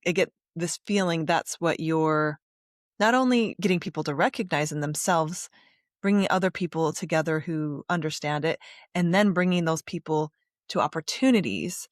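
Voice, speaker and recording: A woman hosting a podcast interview, reflecting at length and summing up her guest's points in a relaxed, conversational way. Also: sits low, moderate pace, no room sound, studio quality. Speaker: podcast host.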